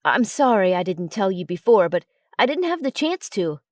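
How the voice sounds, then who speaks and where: Young male werewolf lover, played by a woman, gentle, devoted, storybook dialogue, animated pitch. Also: normal speed, dry recording, clean studio sound, natural voice. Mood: tender. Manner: tender, reassuring.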